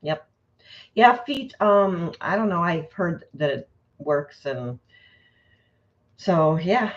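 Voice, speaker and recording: A woman's voice: lowish voice, expressive, takes it slowly. A woman co-hosting a live stream, chatting casually and laughing often. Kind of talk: casual chat. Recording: dull lo-fi stream audio.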